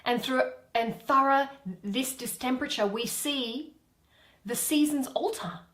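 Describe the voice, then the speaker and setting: A woman voicing a proud, indignant fairy queen in measured, declamatory Shakespearean verse. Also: high voice, a bit bright, reverberant. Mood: aggrieved.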